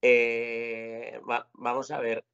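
A man's voice: mid-range voice, little pitch movement, very slow, vocal fry, a bit bright, smooth. A man from Spain, a guest on a podcast, talking casually over a video call with many fillers and restarts. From Spain, born in Zaragoza, raised in Girona. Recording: slightly dull, lo-fi call audio.

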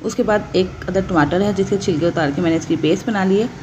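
A woman's voice: mid-range voice, fast talker, darkish tone, a little hiss or hum, poor audio. A woman with a Pakistani Urdu accent, hosting a cooking channel and patiently explaining each step of a recipe and its benefits in a voice-over. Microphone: voice-over over hands-only cooking footage.

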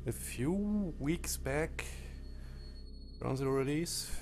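A man whose first language is German, talking over a game menu in halting phrases that break off mid-sentence. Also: on the high side, animated, a little mellow. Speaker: let's play commentator. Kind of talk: game commentary with disclaimers. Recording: faint game menu music behind.

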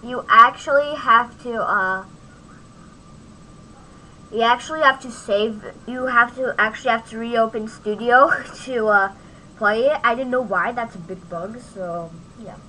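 A woman giving a casual, off-the-cuff software tutorial, thinking aloud as she demonstrates on a home microphone recording. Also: on the high side, measured pace, slight background noise, rough recording. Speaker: tutorial host.

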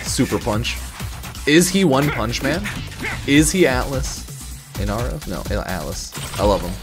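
A man hosting a reaction video, commenting live in casual, excited, slangy exclamations. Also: mid-range voice, unhurried. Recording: game music behind the voice. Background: game trailer music and sound.

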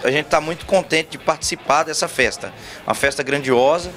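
A man's voice: fairly high pitch, brisk, a bit bright. A man, a singer, speaking effusively and gratefully in a backstage interview into a handheld mic. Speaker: singer in a country duo, interviewed.

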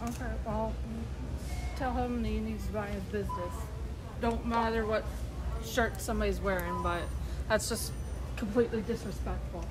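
A woman's voice: mid-range voice, fairly even intonation, normal speed, over loud music, rough recording. An American woman speaking casually as a shopper in a store. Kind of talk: casual spoken exchange. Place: inside a large store.

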